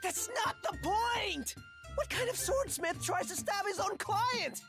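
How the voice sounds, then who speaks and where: A curious, excitable anime game youngster with a highish voice. The delivery is blunt, expressive and unhurried, over clear music. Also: female voice, heavily acted. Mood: alarmed exasperation.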